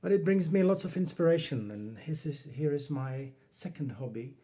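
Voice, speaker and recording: A man from the Czech Republic, speaking English with a Czech accent, calmly walking through a home computer demo in his workroom. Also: fairly high pitch, slightly rough. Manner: unhurried, plain, talks while working the computer. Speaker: retro computing hobbyist. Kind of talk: casual home demo walkthrough.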